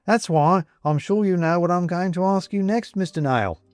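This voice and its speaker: A man voicing a jovial, persuasive ghost gentleman in an audiobook, with a British accent and clipped, chummy, old-fashioned upper-class phrasing. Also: high-pitched, normal speed, clear, resonant tone, voice pushed up, lightly acted. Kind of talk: audiobook character acting.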